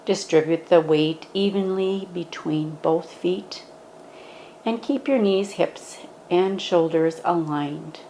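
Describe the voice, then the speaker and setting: A woman gently guiding a standing yoga meditation, speaking in a calm, instructive way. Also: on the low side, slow, slight background noise, low-quality recording.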